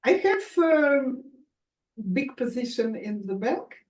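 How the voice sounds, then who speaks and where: A woman's voice: sits high, sing-song, slow pace, darkish tone. Hesitant woman on a distant, roomy call. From Ukraine. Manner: hesitant broken English, many fillers, laughs. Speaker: meeting participant, bank worker. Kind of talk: casual video call chat. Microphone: video call.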